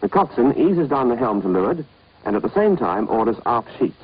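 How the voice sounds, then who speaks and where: A man's voice: medium pitch, very animated, fairly quick, slightly rough. A British man narrating an instructional training film in a clipped, scripted, instructive manner. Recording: dull, band-limited old film soundtrack.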